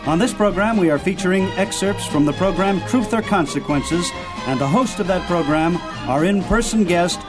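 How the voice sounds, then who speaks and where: A man's voice: high voice, normal speed, poor audio. A man hosting a radio program, reading a scripted introduction in a presenter's style. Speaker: radio show host. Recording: music behind the voice. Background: music.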